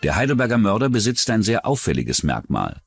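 A man's voice: fairly low pitch, very animated, a little fast, breathy edge, faint music behind. A man narrating a true-crime documentary in a dramatic scripted style.

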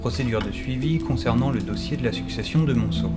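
A man's voice: medium pitch, brisk, over loud music, lightly acted. A man playing an eager, timid notary's clerk who tells his own story in a French audio drama. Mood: measured, wry narration. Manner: hesitant, stammering in dialogue. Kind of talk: French audio drama with first-person narration.

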